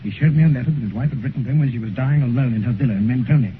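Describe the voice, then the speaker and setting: An actor playing an earnest, morally shocked English gentleman painter, urgent and pleading, in a 1950s radio drama. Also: mid-range voice, very fast, lightly acted. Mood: reproachful. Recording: noisy, muffled 1950s radio recording.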